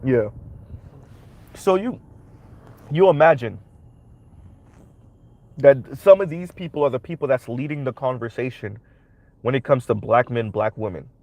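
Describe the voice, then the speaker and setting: A man's voice: mid-range voice, a little slow, long pauses, a little mellow, no room sound. A young man with a cold and a stuffy voice, hosting a livestream of emphatic social commentary full of tag questions. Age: young adult. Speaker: commentary channel host.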